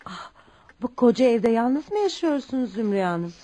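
A woman's voice: on the high side, big pitch swings, moderate pace, warm tone. An actress playing a humble but proud, poor widowed mother in a radio drama, polite and hesitant. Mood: politely firm. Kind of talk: Turkish radio drama acting.

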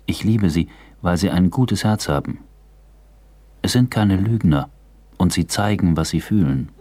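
A man's voice: low-pitched, unhurried, heavy background noise. A man reading a translation as a voice-over in a plain, composed way.

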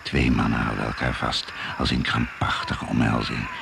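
Male radio play narrator: extremely low, creaky, suspenseful and deliberate, noisy recording with animal sounds. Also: level tone. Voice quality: gravelly.

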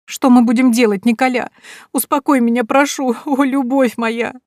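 A woman's voice: steady tone, moderate pace, darkish tone, no echo, close to an everyday voice. A woman putting on a sobbing young impostor girl. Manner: high, sobbing, imploring. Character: tearful young female impostor, pleading. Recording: dry close recording.

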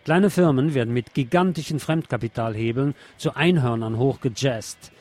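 A man's voice: medium pitch, moderate pace, darkish tone. A man reads scripted political commentary as a radio presenter. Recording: music playing behind the voice. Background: music.

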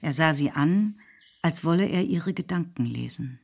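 A woman giving scripted literary narration in a measured storytelling manner. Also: sits low, lively intonation, vocal fry, a little mellow.

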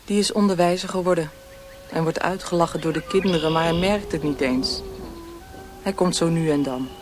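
An actress playing a worldly, languid hostess in a radio drama, calm and detached. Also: female voice, on the low side, moderate pace, soft background music, close to an everyday voice.

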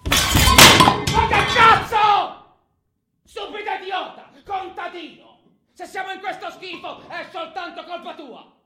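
An actor playing a tormented, volatile criminal in a theatrical monologue, foul-mouthed and swinging between muttering and outbursts. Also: male voice, very high voice, steady tone, slow, sharp tone, exaggerated voice. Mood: furious. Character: tormented, volatile criminal man, taunting and cursing himself. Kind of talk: theatrical monologue, radio stage drama. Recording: roomy echo, faint background noise.

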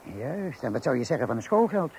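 A man's voice: mid-range voice, big pitch swings, a little fast, a little mellow. An actor playing a worldly man in his fifties in a radio play, lecturing in an emphatic, patronising way. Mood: warning, emphatic. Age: 50s. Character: worldly, lecturing fifty-year-old colleague and father of many. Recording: noisy old radio play recording.

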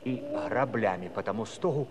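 Animated folksy Cossack storyteller, played by a man. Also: fairly low pitch, normal speed, background noise, lightly acted. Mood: comic storytelling. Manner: folksy, colloquial, rambling, animated. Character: jilted Cossack farmhand, chatty, comical, curious husband. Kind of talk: theatrical folk comedy acting and storytelling.

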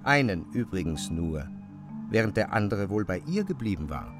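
A man narrating a literary radio play in a measured, articulate way. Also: fairly low pitch, sing-song, over loud music.

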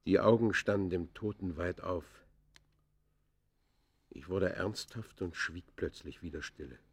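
An actor playing a brooding, defiant murderer who confesses in deliberate, literary German radio play style, moving between hushed and vehement delivery. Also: male voice, deep voice, slightly rough, full character voice. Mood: haunted, brooding.

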